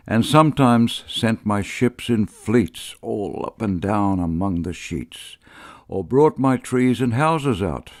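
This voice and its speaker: A man reading literary prose and verse as an audiobook narrator, in a measured, expressive storytelling way. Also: fairly low pitch, dry recording, clean studio sound.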